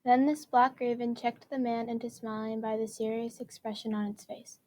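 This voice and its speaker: A woman's voice: fairly high pitch, little pitch movement, moderate pace, mellow, a little hiss or hum. A woman reading scripted story narration aloud, carefully and with the odd stumble. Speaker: student reader.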